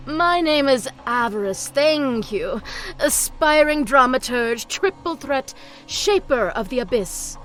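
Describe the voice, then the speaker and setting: A woman's voice: high voice, lively intonation, takes it slowly, fairly bright tone, faint music behind, exaggerated voice. A woman playing a vain, gloating abyssal demon in an audio drama, pompous and theatrical. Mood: gloating, grandiose.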